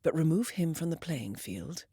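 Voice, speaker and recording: A woman playing a composed, calculating intelligence handler in a video game, giving measured, precise mission briefings with dry, understated wit. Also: sits low, expressive, warm tone, husky, no room sound, heavily acted. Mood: quiet, resolute.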